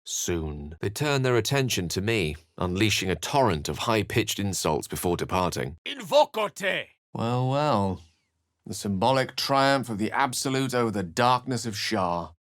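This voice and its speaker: Low-voiced, eloquent wizard. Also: male voice, very animated, measured pace, a bit bright, no room sound, clean studio sound. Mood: urgent, incantatory, then wry, courteous. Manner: articulate, erudite, theatrical. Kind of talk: video game character acting and narration.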